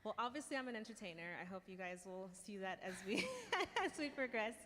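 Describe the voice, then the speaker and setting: An American woman talks casually as a conference panelist. Her voice has an ordinary middle pitch and carries through a hall with long reverb. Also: animated, fairly quick, bright, forceful tone, a little hiss or hum. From United States. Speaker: community manager on a panel. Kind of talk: casual panel self-introduction. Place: conference stage in a large hall.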